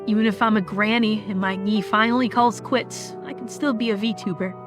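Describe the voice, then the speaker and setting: A woman playing a frank, supportive idol friend in an animated drama. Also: mid-range voice, brisk, close to an everyday voice. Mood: easygoing, reflective. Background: music.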